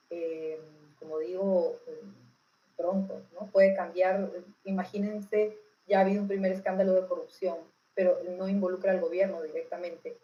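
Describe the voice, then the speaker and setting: Mellow-voiced Peruvian woman explaining. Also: fairly low pitch, lo-fi sound. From Peru. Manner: didactic, often checking understanding with tag questions. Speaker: political expert being interviewed. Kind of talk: informal explanatory interview answers.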